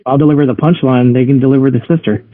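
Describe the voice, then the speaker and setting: A husky-voiced man playing a tabletop game, casually role-playing over muffled, phone-like voice chat. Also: medium pitch, fairly even intonation, fast, dry recording. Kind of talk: casual in-character role play.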